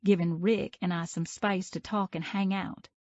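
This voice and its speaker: A man's voice: high-pitched, fairly even intonation, moderate pace, darkish tone, dry recording, lo-fi sound, voice pushed up, close to an everyday voice. A man putting on a sassy teenage girl.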